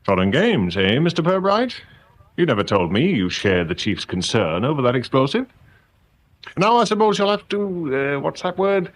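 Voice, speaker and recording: An actor playing a prickly, sardonic local chief inspector in a radio drama, with a halting, sarcastic way of talking. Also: male voice, on the high side, big pitch swings. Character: sardonic, troubled local police chief inspector, married man.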